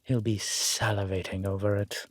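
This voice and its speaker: A man playing an urbane, centuries-old vampire in an audio roleplay, with formal, measured phrasing and dry condescension. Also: lowish voice, little pitch movement, close to an everyday voice. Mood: smug, fond. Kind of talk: scripted audio roleplay drama.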